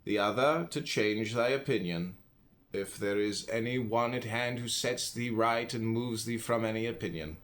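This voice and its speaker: A man from the United States reads philosophical writing aloud. His voice sits a little low. He delivers it deliberately, one phrase at a time, leaving pauses between them. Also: fairly bright tone. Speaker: audiobook reader. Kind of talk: measured reading aloud of philosophical text.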